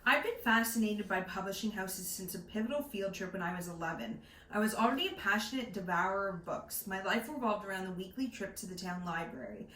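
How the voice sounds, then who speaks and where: Woman, young adult, bright voice, enthusiastic opinionated book chat, giggly, echoey room, faint noise. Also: mid-range voice, animated, fairly quick. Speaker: book podcast co-host. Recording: roomy echo with faint background noise.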